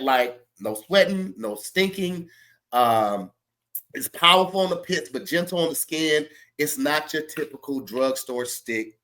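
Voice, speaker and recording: A man's voice: medium pitch, fairly bright tone. Stuffy-nosed man chatting loosely. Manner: loose, joking, drawn-out delivery. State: stuffy, congested. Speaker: podcast co-host. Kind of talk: casual chat and read ad.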